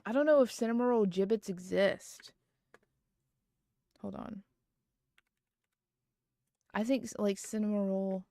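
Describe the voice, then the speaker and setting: A woman's voice: mid-range voice, a little slow, pauses often, warm tone, no echo. Woman streamer, mid-range voice, loose chatty rambling full of "um" and "like", dry close sound. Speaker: livestreamer. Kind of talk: casual chat.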